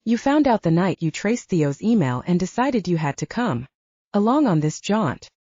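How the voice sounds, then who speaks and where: A woman's voice: fairly low pitch, big pitch swings, moderate pace, dark tone, no echo, dull audio. A woman voicing a guarded, protective, wealthy alpha werewolf man in an audiobook. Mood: firm, defensive. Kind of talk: audiobook character dialogue.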